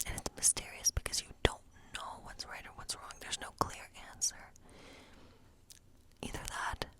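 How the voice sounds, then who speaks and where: Female ASMR role player, fully whispered, gentle fortune-telling witch, unhurried and thoughtful. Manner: unhurried, musing, thinking aloud. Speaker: ASMR role-play performer as a tarot-reading witch. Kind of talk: whispered ASMR tarot reading role play.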